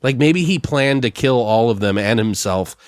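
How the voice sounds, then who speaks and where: A man hosting a podcast, chatting casually and brainstorming in a rambling, animated storytelling way with plenty of swearing. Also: medium pitch, moderate pace, no echo, studio quality. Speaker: podcast host. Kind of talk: casual improvised brainstorming chat.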